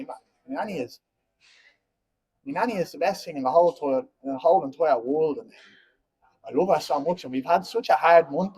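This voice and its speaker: Husky-voiced Dublin man in his twenties. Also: fairly high pitch, a little mellow, low-quality recording. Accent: Dublin Irish. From Ireland. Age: 20s. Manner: blunt, heated and rambling, keeps asking "you know what I mean". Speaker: fighter at a press conference. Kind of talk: post-fight press conference.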